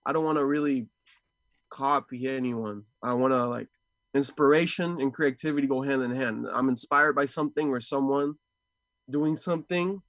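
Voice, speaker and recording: A man's voice: medium pitch, normal speed, fairly bright tone, dry recording. A man from Ecuador and Houston speaking casually and reflectively as an interview guest, a martial arts fighter who searches for words and often repeats them. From Ecuador and Houston, USA.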